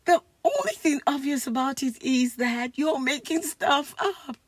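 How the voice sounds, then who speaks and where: Woman voicing an exasperated, high-voiced comic-strip schoolboy. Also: expressive, measured pace, no room sound. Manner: blunt, incredulous. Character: exasperated, sensible schoolboy friend.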